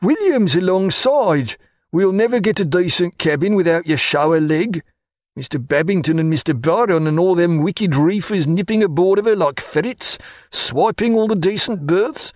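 A man voicing a grumbling, bossy old male ship's steward who talks in rough sailor's dialect. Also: high voice, expressive, moderate pace, darkish tone, no echo, clean studio sound, voice pushed up.

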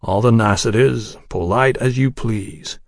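A man's voice: medium pitch, unhurried, creaky, dry recording. A man voicing a grim, weary, menacing in an audiobook, with a dry, toneless, terse delivery. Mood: bitter, grim.

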